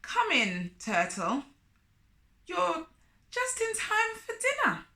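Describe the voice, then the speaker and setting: Storybook spider played by a woman: male, greedy and sly, bright, swooping delivery, falsely polite scolding. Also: very high voice, huge pitch swings, slow pace, echoey room, pitched above the performer's own voice, exaggerated voice. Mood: grudging welcome. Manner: feigned politeness, stammering excuses. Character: greedy, selfish spider, male, slyly scolding his guest.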